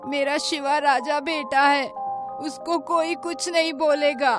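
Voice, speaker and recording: An old village grandmother from a cartoon, acted by a woman, has a high voice and takes her words very slowly. She is emphatic and doting, with a fond scolding edge. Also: flat delivery, over music. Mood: doting, proud. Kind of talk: Hindi cartoon voice acting.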